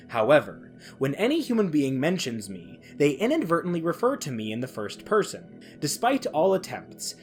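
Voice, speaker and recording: A man voicing a sentient toaster in a formal, measured dramatic reading of a horror case file. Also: a little high, a little mellow. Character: sentient ordinary toaster describing itself as a clinical case file.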